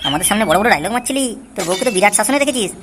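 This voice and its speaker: A man's voice: high voice, animated, rapid-fire, heavy background noise. A man playing a mocking, taunting friend in a comic cartoon. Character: teasing, mocking male friend of the husband. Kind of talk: amateur comic cartoon dubbing.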